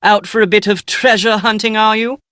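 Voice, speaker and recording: An actor playing a pompous, excitable British scholar and would-be author in a video game, speaking in bookish, theatrical diction. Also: male voice, high-pitched, normal speed, no room sound, clean studio sound. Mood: conspiratorial. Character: Nigel Mukherjee, pompous, excitable British male scholar writing family history. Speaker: researcher and aspiring author. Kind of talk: video game character dialogue.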